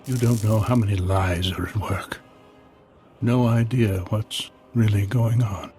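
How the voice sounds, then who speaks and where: An actor playing an old terrorist master in a video game, calm, smug and menacing, with a husky voice. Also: male voice, fairly low pitch, measured pace, noisy background, lightly acted. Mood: ominous. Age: older. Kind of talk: video game villain acting.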